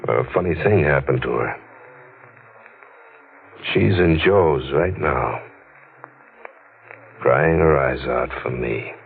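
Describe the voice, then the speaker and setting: A man's voice: very deep voice, takes it slowly, gravelly, pauses often, background noise, full character voice. An actor playing a cynical, washed-up, punch-drunk newspaperman in his thirties, wry and slangy, in a 1940s radio drama. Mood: grim, resigned. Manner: hard-boiled, wry, slangy. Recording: muffled vintage radio, music behind.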